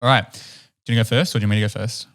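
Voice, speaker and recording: A young man with a New Zealand accent spins a story for his podcast co-host. He talks close to a boom mic in a room at home, animated and breaking into small laughs. The tone is casual and chatty. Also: racing, heavy background noise. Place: home room with stone wall, indoors. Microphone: boom studio mic close up.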